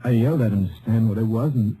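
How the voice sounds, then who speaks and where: Casting: male actor as wounded lawman, low and very muffled-dark, halting and folksy, faint hiss of old radio. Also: close to an everyday voice. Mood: anguished, grieving. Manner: halting, plain-spoken, folksy. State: weak, in pain. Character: gravely wounded Texas deputy sheriff, grieving father. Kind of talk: old-time radio drama acting.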